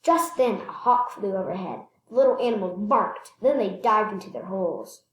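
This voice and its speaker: A woman reading a children's picture book aloud expressively, stressing words and pausing to point things out. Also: mid-range voice, reverberant, poor audio. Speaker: read-aloud storyteller.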